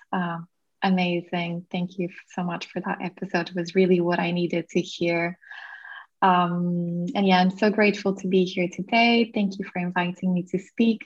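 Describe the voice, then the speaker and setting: A woman's voice: fairly low pitch, dull audio. A woman in her thirties from Portugal, a yoga teacher who is a podcast guest, telling her story in a grateful, reflective way with frequent fillers. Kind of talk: conversational interview.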